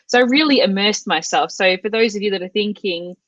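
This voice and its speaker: A woman's voice: medium pitch, a little fast, fairly bright tone, dry recording, muffled recording. A middle-aged Australian woman chatting casually as an interview guest, with lots of fillers and restarts. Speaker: guest, language course creator.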